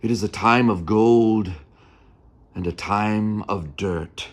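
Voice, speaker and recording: A man playing an earnest young nineteenth-century touring actor, telling his life in a measured, theatrical dramatic monologue. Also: lowish voice. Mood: vivid, rousing. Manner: theatrical, measured, with weighty pauses. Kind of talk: dramatic first-person monologue.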